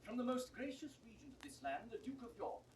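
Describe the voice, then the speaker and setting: An actor playing a stern noble messenger in a Shakespearean audio drama, formal and challenging with a British accent. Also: male voice, very high-pitched, big pitch swings, a little fast, darkish tone. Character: stern, urgent noble messenger of an English regent. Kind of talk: classical Shakespearean stage acting. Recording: distant, echoing, hissy 1960s recording.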